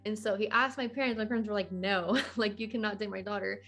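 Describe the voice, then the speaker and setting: A woman's voice: medium pitch, quick pace, fairly bright tone, faint music behind. A woman in her twenties talking casually as a podcast guest, in a chatty, informal way peppered with "like". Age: 20s. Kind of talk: casual conversational interview.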